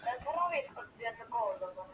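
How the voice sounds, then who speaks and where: A woman's voice: on the high side, expressive. A woman chatting casually as a fellow player in an improvised game role-play, on a noisy recording.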